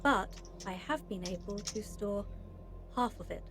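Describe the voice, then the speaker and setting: A woman's voice: medium pitch, measured pace, slight background noise, natural voice. A woman playing a failing, self-willed AI overseer in a science fiction drama, speaking in formal, clipped announcements. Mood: defiant.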